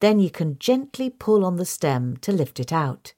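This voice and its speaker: A woman reading a scripted question-and-answer guide in a measured, instructional manner. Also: on the low side, very animated, mellow. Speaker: reader of a magazine article.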